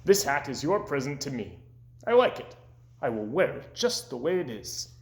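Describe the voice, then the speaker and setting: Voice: mid-range voice, big pitch swings, moderate pace, roomy sound, slight background noise, close to an everyday voice. A reader voicing a grumpy but earnest male toad from a storybook, speaking emphatically.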